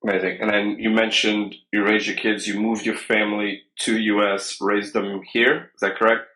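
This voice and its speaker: Bright-voiced low-ish male podcast host. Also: level tone, moderate pace, low-quality recording. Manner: brief prompts, affirming replies. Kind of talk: casual interview prompting.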